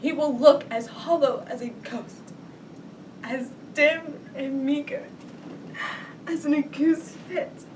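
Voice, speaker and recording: A woman performing a grief-stricken noblewoman mother in a Shakespearean stage monologue, with theatrical, drawn-out lamenting. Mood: despairing. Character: grief-stricken noblewoman mother mourning her captive young son. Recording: distant mic, rumbling background noise.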